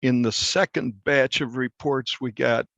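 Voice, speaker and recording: A man, a newspaper reporter, explaining things in an interview with deliberate, halting phrasing. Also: medium pitch, steady tone, vocal fry, fairly bright tone, no room sound. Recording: dull, slightly muffled lo-fi sound.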